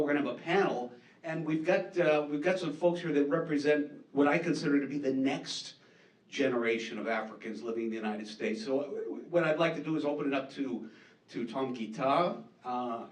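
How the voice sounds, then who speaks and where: A man with a New Hampshire accent giving a casual conference presentation on market research, chatty and self-deprecating with many fillers and repeats. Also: on the high side. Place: conference hall. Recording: echoey hall, distant mic.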